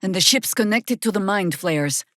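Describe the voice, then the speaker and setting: An actress voicing a stern, wry veteran druid woman in a fantasy video game, with a dry, sardonic, measured delivery. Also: medium pitch, animated, a bit bright, no room sound, natural voice. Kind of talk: fantasy game character dialogue.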